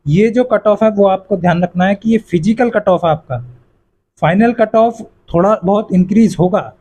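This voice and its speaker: High, mellow-voiced man explaining exam cutoffs. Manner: repetitive, fills with "yahan par" and "jo hai"; addresses viewers as friends, reassuring. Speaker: exam coaching YouTuber. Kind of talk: casual explainer to students.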